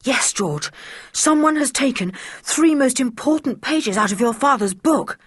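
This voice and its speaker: A woman's voice: high-pitched, lively intonation, unhurried, husky, no room sound, voice pushed up, full character voice. Woman voicing an eager, polite older boy. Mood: urgent. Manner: urgent, polite.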